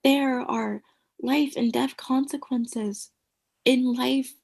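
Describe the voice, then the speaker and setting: An actress playing a bossy, ominous sitcom roommate with theatrical, emphatic delivery in a comedic radio play. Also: female voice, sits high, fairly even intonation, takes it slowly, creaky, darkish tone, full character voice. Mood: ominous, knowing.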